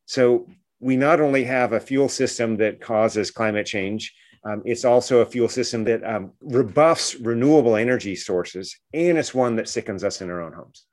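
A man's voice: mid-range voice, normal speed, a little mellow. A man speaking as an expert guest in a radio interview, explaining things earnestly and often checking in with a short questioning tag.

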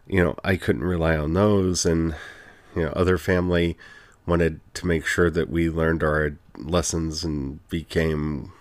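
A podcast host with a very deep, low-pitched voice talks alone into the mic in an earnest, heartfelt way. The delivery is reflective and personal, dotted with soft "you know" fillers. The sound is close and dry, with no room behind it. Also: no room sound. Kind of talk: reflective solo podcast monologue.

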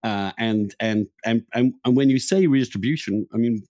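Male interviewer: soft dark tone, mid pitch, halting and probing, conversational, dry close recording. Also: no echo. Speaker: podcast host, interviewer.

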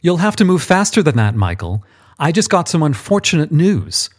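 A man's voice: fairly high pitch, very animated, normal speed, pitched above the performer's own voice. A man reading an audiobook, voicing an impatient, condescending male archangel who orders his underling about. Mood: imperious. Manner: condescending, impatient, self-important. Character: pompous, imperious male archangel boss. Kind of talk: audiobook character voice.

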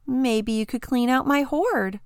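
A woman's voice: high voice, unhurried, clean tone, no room sound, studio quality, voice pushed up. A woman voicing an ancient, weary female dragon with wry, haughty, archaic phrasing in a storybook reading. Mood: scheming, rueful.

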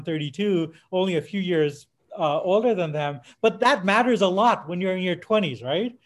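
A man with a noticeably high voice talks casually as a podcast co-host. He is in his fifties and speaks haltingly, starting a point, breaking off for a side note, then picking it back up. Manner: halting, digressive, with frequent self-interruptions and tangents. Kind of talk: casual podcast discussion of music history.

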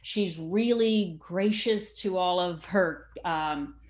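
Expressive, enthusiastic woman chatting as guest. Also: fairly low pitch, takes it slowly. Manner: enthusiastic, expressive big personality. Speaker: interview guest, community theater actor. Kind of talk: casual interview chat.

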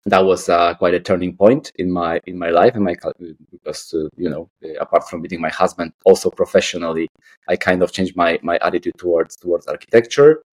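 A man's voice: low-pitched, a little fast. A man in his late thirties with a Polish accent, answering casually as an interview guest, hesitant and often repeating words. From Poland. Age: late 30s. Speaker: guest, artist and architect.

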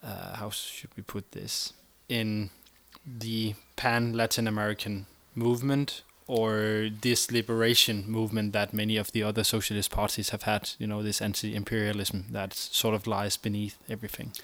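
Flat-toned man co-hosting, dry sound. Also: lowish voice, normal speed, hardly any pauses, dry recording. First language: Danish. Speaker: podcast co-host. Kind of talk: casual interview discussion.

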